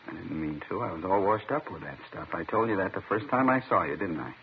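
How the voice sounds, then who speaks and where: An American actor playing a worn-down burglary suspect who repeats himself defensively and pleadingly in 1950s radio drama style. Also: male voice, low-pitched, lively intonation, fast talker. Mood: weary pleading. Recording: muffled old radio recording with hiss.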